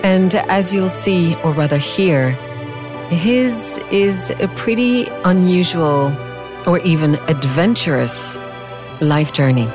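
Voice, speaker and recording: A woman's voice: lowish voice, expressive, takes it slowly, over music. A woman hosting a podcast, reading a scripted intro and then chatting with a guest in a curious, chatty way, laughing at herself now and then. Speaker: podcast host. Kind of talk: scripted intro then casual interview chat.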